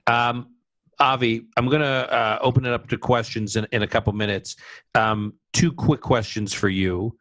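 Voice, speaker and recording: A male interviewer with an ordinary mid-range voice runs a live conversation. He moves briskly, eager to jump into the next question. The sound is dry and close, with no room around him. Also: mid-range voice, steady tone, fairly bright tone, no echo, rough recording. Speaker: interviewer and host.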